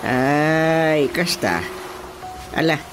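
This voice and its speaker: A man's voice: a little high, drawn-out words. Easygoing old Ilocano father. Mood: boastful. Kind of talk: Ilocano radio comedy-drama acting. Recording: prominent music under the dialogue.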